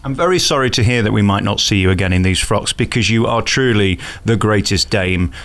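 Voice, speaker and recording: A man interviewing a guest in a conversational, familiar and flattering way. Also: lowish voice, brisk.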